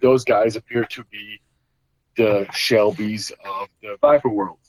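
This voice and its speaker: A man's voice: lowish voice, steady tone, measured pace, no echo, rough recording. A middle-aged man from Belgium, a guest explaining his custom Jeep builds in casual talk, with many fillers and restarts.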